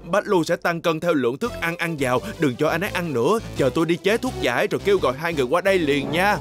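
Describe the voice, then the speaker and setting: A male actor plays an excitable middle-aged cartoon scientist who explains things earnestly. His voice is a little high and very animated, with a southern Vietnamese accent, over music. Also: fast talker, natural voice. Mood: alarmed. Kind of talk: cartoon dub.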